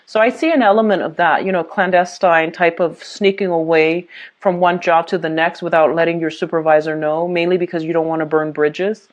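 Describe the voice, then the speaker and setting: Low-voiced woman giving a tarot reading. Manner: reassuring, many fillers and restarts. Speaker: tarot reader.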